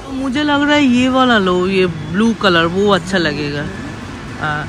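A woman's voice: mid-range voice, a little slow, a crowd in the background. A woman vlogging while shopping, chatting casually and describing each item she picks up, filmed on a handheld phone in a big warehouse store. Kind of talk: casual shop-with-me vlog narration. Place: large indoor warehouse store. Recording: echoey warehouse with loud store noise.

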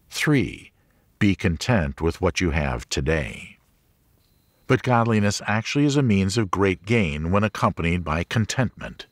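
A man reading a religious audiobook as a scripted narration, in a measured, clearly enunciated manner. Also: low voice, no echo, studio quality.

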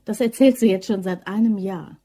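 Actress as a mellow, teasing young office worker. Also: female voice, mid-range voice, moderate pace, no echo, natural voice. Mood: wry, chatty.

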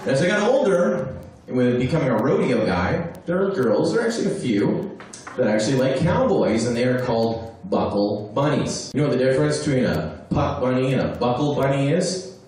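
Man, middle-aged, stand-up comedian, loose crude delivery, handheld mic, boomy room, distant pickup. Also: sing-song, fairly quick, a little mellow, slight background noise. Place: small club stage. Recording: echoey room recorded from a distance.

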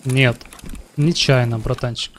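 A man's voice: mid-range voice, steady tone, a little slow, slight background noise. A man in his thirties streaming a game from home, joking with his chat and talking at a desk mic. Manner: joking, talks to chat, puts on broken English and mock greetings. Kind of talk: live game stream commentary. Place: home room at a computer.

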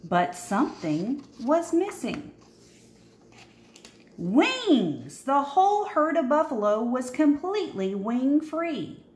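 A woman reads a children's picture book aloud in a fairly high voice that swings up and down with plenty of animation. She takes her time, leaning on key words with dramatic pauses. The room adds a noticeable echo. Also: a little hiss or hum. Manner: expressive, dramatic pauses and emphasis. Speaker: storybook reader.

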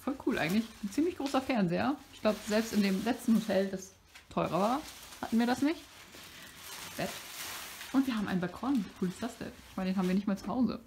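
A woman's voice: medium pitch, expressive, fast talker, vocal fry, a little mellow. A young woman chatting casually to her vlog in speech, rambling with sarcastic asides. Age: young adult. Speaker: vlogger. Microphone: phone or camera at arm's length. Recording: noisy handheld vlog audio.

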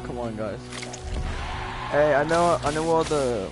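A young man with a fairly high voice chats casually with his teammates as he plays. His talk is slangy and full of swearing, with game music clearly behind it. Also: unhurried. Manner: foul-mouthed, slangy. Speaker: gamer live-streaming a co-op game. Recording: game audio under voice. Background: game music and effects.

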